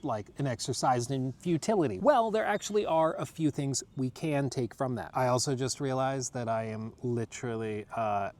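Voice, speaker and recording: A man's voice: mid-range voice, darkish tone. A young American man hosting a casual gaming chat, rambling with lots of fillers and reading passages from a wiki. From United States. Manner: rambling, filler-heavy, laughs often. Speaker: podcast host. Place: outdoors on grass.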